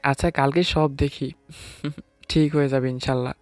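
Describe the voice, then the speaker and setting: A man's voice: mid-range voice, steady tone, moderate pace, dry recording, close to an everyday voice. A man playing a nervous, hesitant newlywed husband who narrates his own story in an audio romance. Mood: gentle, unsure. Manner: hesitant, sheepish. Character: timid, nervous newlywed husband, late twenties. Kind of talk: first-person story narration with acted dialogue. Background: music.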